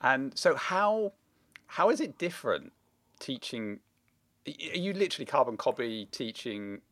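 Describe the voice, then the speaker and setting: Man interviewer, lively pitch. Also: normal speed, no room sound. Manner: stammering, restarts questions. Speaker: podcast host, interviewer. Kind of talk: casual interview chat.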